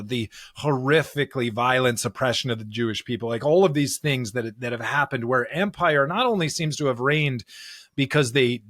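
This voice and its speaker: An American man co-hosting a conversational Bible discussion podcast, earnest and halting as he searches for his words and piles up clauses. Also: mid-range voice, a bit bright, dry recording, studio quality. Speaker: podcast co-host discussing scripture.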